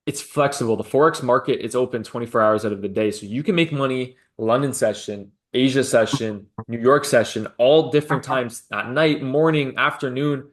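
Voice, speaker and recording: A man hosting an interview over a video call, a trading coach who asks questions, drops quick affirmations and pitches his own teaching.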